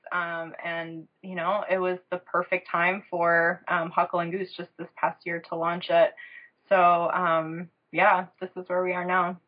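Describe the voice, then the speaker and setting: A woman giving casual, halting interview answers as a guest, pausing often as she goes. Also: lowish voice, lo-fi sound. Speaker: podcast guest, small business co-founder.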